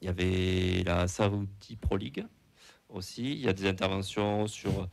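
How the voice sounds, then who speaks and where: A man's voice: deep voice, fairly even intonation, measured pace, gravelly, no echo. A young man with a southwest French accent, speaking for a supporters' association, hesitant and full of fillers and restarts. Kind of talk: casual radio talk.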